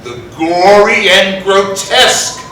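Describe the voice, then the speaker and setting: A man playing an ominous, grandiose horror storyteller with a florid, dramatic, drawn-out delivery on stage. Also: sits high, pushed and bright, full character voice. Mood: ominous relish. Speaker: introducer of stage readings. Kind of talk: theatrical gothic horror introduction. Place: live theatre stage, recorded from afar. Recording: echoey distant hall, faint noise.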